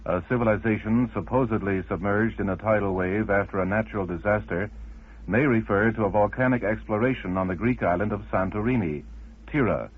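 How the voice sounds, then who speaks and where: Husky low-voiced man reading news. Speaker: news reader. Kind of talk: scripted news report reading. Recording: muffled old radio recording.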